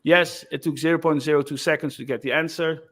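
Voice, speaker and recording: Male presenter, slightly high voice, relaxed, casual walkthrough of a software feature. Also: brisk. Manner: loose and chatty, frequent "like" and "uh" fillers. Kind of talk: casual screen-share product demo.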